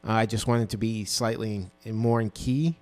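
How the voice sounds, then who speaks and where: A man in his twenties with a low voice, casually walking through his own song mix with plenty of ums and self-corrections, recorded on a dynamic mic in a small home studio. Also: moderate pace, soft background music. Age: 20s. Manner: relaxed, rambling, full of ums and self-corrections. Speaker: music producer. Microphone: dynamic broadcast mic.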